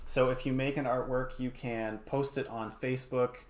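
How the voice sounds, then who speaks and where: A man's voice: mid-range voice, fairly even intonation, moderate pace. A man teaching a casual craft demonstration in an encouraging, easygoing way, murmuring little asides as he works. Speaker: art teacher. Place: home studio. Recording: clear background room noise.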